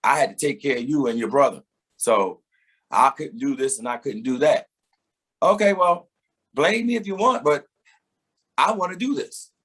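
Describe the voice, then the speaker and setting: A middle-aged man with a husky, slightly breathy voice speaks at an ordinary pitch. He tells his story reflectively in a relaxed interview, laughing here and there and repeating words as he gets going. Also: moderate pace, long pauses. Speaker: singer and interview guest. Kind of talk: casual conversational interview.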